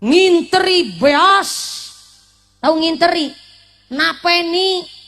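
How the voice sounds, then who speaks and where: Woman preacher, late 20s, high voice, playful and questioning, sermon to a live crowd. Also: drawn-out words, faint music behind. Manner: lively, teasing, asks the audience questions, mixes in Javanese and Sundanese words. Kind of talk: humorous Islamic sermon to a live congregation.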